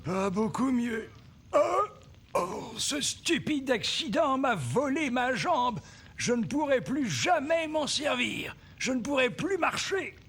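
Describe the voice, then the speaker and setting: Very high, husky, embittered tribesman. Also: sing-song, slow pace, a bit bright, full character voice. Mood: bitter, distrustful. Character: suspicious, bitter injured male tribesman. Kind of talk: French animated film dub.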